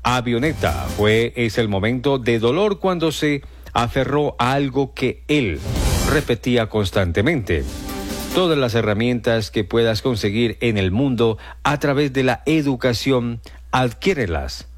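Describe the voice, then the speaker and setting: A man's voice: medium pitch, expressive, unhurried. A man from Colombia hosting morning radio news, reading bulletins with some slips and restarts and repeating the time. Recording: music bed behind the voice. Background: music.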